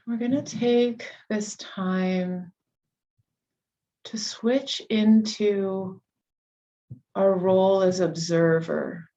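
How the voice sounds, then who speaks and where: A woman leading a guided meditation, giving soothing, unhurried instructions with long pauses between phrases. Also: mid-range voice, fairly even intonation, mellow, lo-fi sound.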